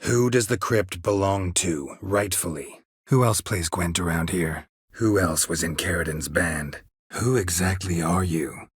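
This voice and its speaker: An actor playing a stoic, world-weary monster hunter in a video game, with a gravelly, husky voice and terse, dry, deadpan delivery. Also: male voice, deep voice, measured pace, no room sound, heavily acted. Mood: dry, questioning.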